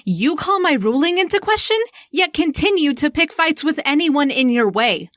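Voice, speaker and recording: A woman's voice: sits high, very animated, normal speed, no echo, studio quality. A woman playing a hot-headed, foul-mouthed human queen in an audio drama, blunt and defiant. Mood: angry. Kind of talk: audio drama acting and first-person narration.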